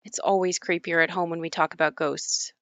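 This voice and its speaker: A woman's voice: fairly low pitch, little pitch movement, brisk, fairly bright tone, no echo. A woman acting in an audio play as an uneasy daughter who recalls growing up in a haunted house. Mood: reluctant. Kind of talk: audio play monologue and dialogue.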